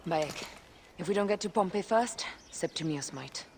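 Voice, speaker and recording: A woman's voice: on the low side, expressive, moderate pace, slight background noise. An actress playing a serious, determined woman warrior who speaks tersely and firmly. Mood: grim. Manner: terse, firm. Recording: game scene with loud music.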